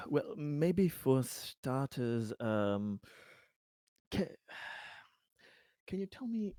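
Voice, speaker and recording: A man's voice: mid-range voice, very animated, very slow, dark tone, dry recording, studio quality. A man playing a tabletop roleplaying game, improvising wordy questions with a hesitant, stammering delivery.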